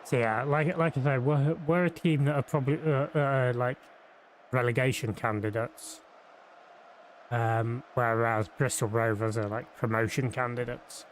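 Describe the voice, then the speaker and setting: A man's voice: medium pitch, steady tone, a little slow, a little hiss or hum, poor audio. A man from Yorkshire streaming a football management game, chatting casually and thinking aloud with fillers and restarts. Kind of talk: live game commentary and chat.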